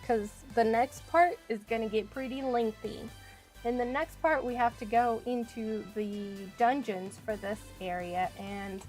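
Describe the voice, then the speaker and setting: Chatty woman streamer, slightly high voice. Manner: chatty, thinks aloud, rambling with many "like"s. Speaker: game streamer. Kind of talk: casual live-stream chat while playing.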